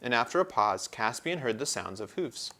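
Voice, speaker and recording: A man's voice: medium pitch, very animated, normal speed, pushed and bright. A man narrating a children's storybook as a read-aloud. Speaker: storybook reader.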